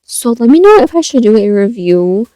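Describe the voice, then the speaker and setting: A young woman with a soft voice chatting casually about a film, rambling with lots of fillers and restarts. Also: a little high, big pitch swings, normal speed, dry recording. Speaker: vlogger reviewing a film. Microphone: stand mic.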